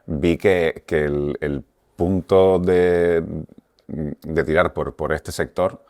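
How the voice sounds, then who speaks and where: A man's voice: deep voice, steady tone, very slow, a little mellow, no room sound, studio quality. A man interviewing a guest, asking probing questions with some hesitation. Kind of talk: conversational interview.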